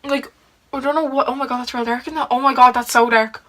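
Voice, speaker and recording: Bright-voiced teenage girl vlogger. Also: high-pitched, fairly even intonation, fast talker. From Ireland. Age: teens. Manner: chatty, giggly, lots of "like" fillers. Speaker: beauty vlogger. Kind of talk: casual get-ready-with-me chat.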